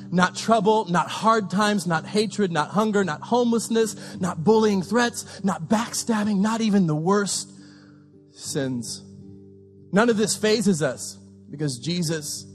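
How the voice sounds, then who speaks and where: Give me a high-pitched man delivering a fervent sermon over faint background music. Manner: impassioned, repetitive preaching with shouted peaks. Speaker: pastor.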